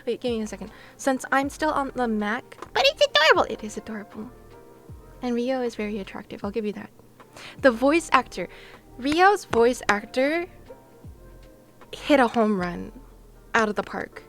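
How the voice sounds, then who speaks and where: A woman's voice: on the high side, sing-song, normal speed, long pauses, fairly bright tone, soft background music. A woman livestreaming and chatting casually with her viewers, giggly and playful, with gushing exclamations and sing-song asides. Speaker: live streamer.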